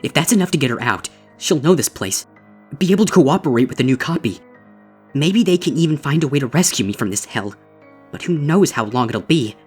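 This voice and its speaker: A man reading a horror story as a traumatized female unicorn, grave, deliberate and intense, over music. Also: fairly high pitch, lively intonation, a little mellow, slightly rough. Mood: desperate, intense. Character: traumatized young female unicorn, horrified and desperate. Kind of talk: dramatic first-person horror story reading.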